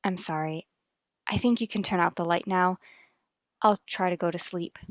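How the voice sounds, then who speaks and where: A woman's voice: on the low side, steady tone, moderate pace, dry recording, natural voice. An actress playing an anxious, troubled mother in an old radio soap, speaking earnestly and formally. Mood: guarded. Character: anxious, troubled mother and wife, polite and guarded. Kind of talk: mid-century radio soap acting.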